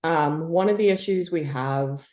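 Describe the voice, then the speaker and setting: A woman from the United States, a historian, answering interview questions in a thoughtful, halting way with many fillers and soft laughs. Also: low voice, lo-fi sound.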